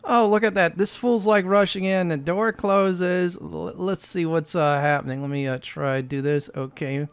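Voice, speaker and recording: A man's voice: sits high, steady tone, normal speed, clear, resonant tone. A man hosting a gaming channel, giving casual walkthrough commentary and explaining things in short, plain phrases.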